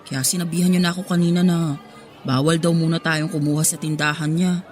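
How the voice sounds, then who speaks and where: A woman's voice: sits low, steady tone, a little fast, a little mellow, faint music behind, close to an everyday voice. A woman playing a hard-working, exasperated mother who sells vegetables, pleading and scolding in a Tagalog radio drama.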